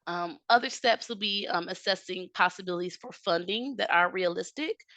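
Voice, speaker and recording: A woman giving a webinar talk over a video call, a little hoarse from a cold. Her voice is bright and slightly lower-pitched, and the recording is close and dry. She explains at a steady pace, with many "um" fillers. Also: normal speed, no room sound. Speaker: technical assistance presenter. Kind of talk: webinar presentation.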